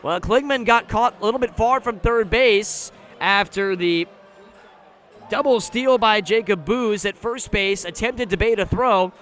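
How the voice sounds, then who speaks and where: A man doing live radio play-by-play commentary of a baseball game, describing the action in a measured way that rises when the play picks up. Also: high voice, fairly bright tone. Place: live at an outdoor ballpark.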